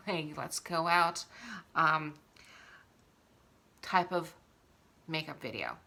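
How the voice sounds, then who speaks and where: A woman's voice: sits low, bright, forceful tone. A woman hosting a casual makeup tutorial, explaining each step as she demonstrates it. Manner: instructional, talking while demonstrating.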